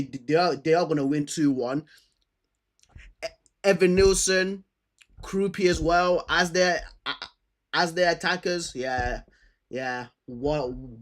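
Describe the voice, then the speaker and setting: Man, fairly high-pitched voice, stammer, casual football host, slangy, thinking aloud, repeated words. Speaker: football fan host previewing fixtures. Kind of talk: casual unscripted football preview chat.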